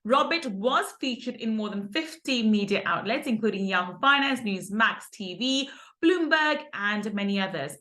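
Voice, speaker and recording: A woman's voice: mid-range voice, animated, moderate pace, sharp tone. A woman hosting a podcast interview, effusive and upbeat, often laughing as she introduces and questions her guest. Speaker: podcast host.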